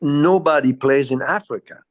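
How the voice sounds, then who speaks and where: A man's voice: fairly high pitch, moderate pace. An older man from the south of France with a French accent, chatting as an interview guest in a rambling, repetitive way. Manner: rambling, repetitive, peppered with "you know". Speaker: interview guest, jazz bassist. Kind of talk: casual reminiscing in an interview.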